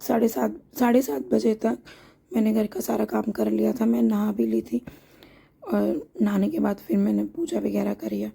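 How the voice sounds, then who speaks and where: A hoarse woman vlogger narrating her daily home routine in a chatty, homely way, explaining each step as she goes. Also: medium pitch, warm tone. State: hoarse from a sore throat.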